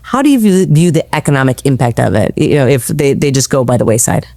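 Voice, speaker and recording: A woman's voice: very deep voice, sing-song, quick pace, warm tone, no room sound, studio quality. A woman asking pressing questions as a news interviewer.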